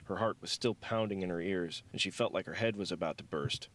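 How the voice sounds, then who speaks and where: A man's voice: low voice, brisk, fairly bright tone, a little hiss or hum. A man reading scripted fiction as an audiobook narrator.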